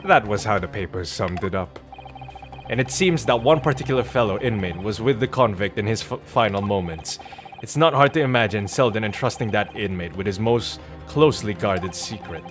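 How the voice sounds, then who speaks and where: Male reader as earnest video game defence attorney: formal, methodical, theatrical, slightly low register, light music behind. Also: animated, normal speed, natural voice. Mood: measured, deductive. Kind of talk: dramatic read-aloud of game dialogue.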